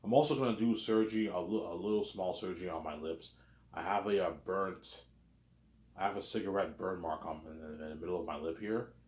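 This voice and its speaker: Brief: man, 30s, low voice, rambling vlog monologue in an echoey room. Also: steady tone, a little fast, darkish tone. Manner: repetitive, keeps adding "obviously" and "man". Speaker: vlogger. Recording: roomy echo.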